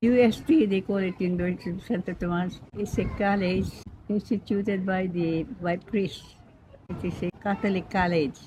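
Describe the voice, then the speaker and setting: A woman's voice: mid-range voice, fairly even intonation, normal speed. Ninety-something Filipino grandmother reminiscing. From Philippines. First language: Pampango. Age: 90s. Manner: rambling, repeats herself, laughs easily. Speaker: interviewee. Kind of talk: reminiscing in casual chat. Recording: lo-fi with background noise.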